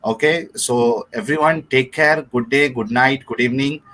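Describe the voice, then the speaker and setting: Guy hosting a live stream, lots of uhs, polite, kinda halting. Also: mid-range voice, a bit bright. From India. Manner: hesitant, many fillers, polite formal address. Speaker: livestream host. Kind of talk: casual livestream chat.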